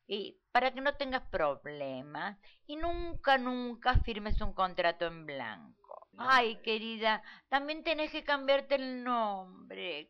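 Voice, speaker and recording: Woman playing a vain, slow-talking showgirl diva. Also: on the high side, clear, resonant tone. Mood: haughty, dismissive. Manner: theatrical, condescending. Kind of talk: parody radio play. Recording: dull lo-fi audio.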